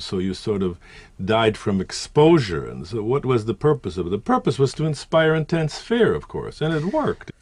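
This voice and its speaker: A man's voice: on the low side, darkish tone, no room sound. A man, a historian and author, explaining in a conversational, self-correcting way. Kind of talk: expert interview commentary.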